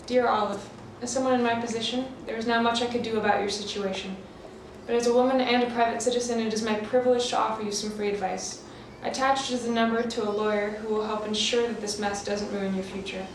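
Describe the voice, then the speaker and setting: An actress playing a firm, world-weary police officer in a radio thriller, measured and official. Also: female voice, fairly high pitch, steady tone, lightly acted. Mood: measured advice. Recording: very echoey, distant, noisy recording.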